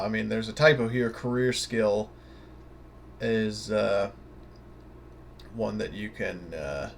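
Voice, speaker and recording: A man giving a casual, unscripted tutorial in a hesitant, halting way, thinking aloud as he goes. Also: on the low side, a little hiss or hum. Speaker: tutorial presenter.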